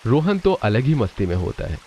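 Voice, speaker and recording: A man's voice: medium pitch, sing-song, fairly quick, warm tone, muffled recording, slight background noise. A man narrating a scripted family cartoon story.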